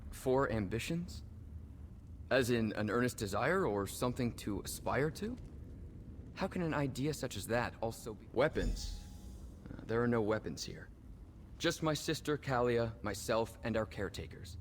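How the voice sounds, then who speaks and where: A man plays a proud young fantasy nobleman in a video game. He speaks formally and theatrically at a middling pitch, with very lively intonation. Faint noise sits behind the voice. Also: moderate pace, close to an everyday voice. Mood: indignant, puzzled.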